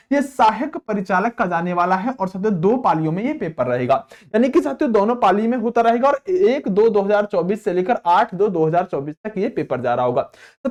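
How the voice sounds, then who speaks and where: A man's voice: high-pitched, a little mellow. A man teaching exam candidates in an explanatory, repetitive way, often asking questions and answering them himself. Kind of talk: explanatory lesson addressed to students.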